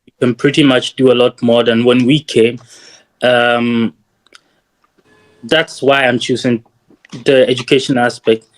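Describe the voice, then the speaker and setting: A man, a guest in a casual interview who speaks in a measured, thoughtful way with frequent "um" pauses. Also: medium pitch, flat delivery, rough recording. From Ghana. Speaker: guest, doctoral student.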